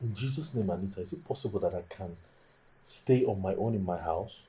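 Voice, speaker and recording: An actor playing an aggrieved, entitled husband in a film drama, with a Nigerian accent and an indignant, repetitive manner full of rhetorical questions. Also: male voice, deep voice, fairly quick, mellow, lightly acted. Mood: angry, scornful. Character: aggrieved, hot-tempered, entitled husband bound to tradition. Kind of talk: naturalistic film drama acting.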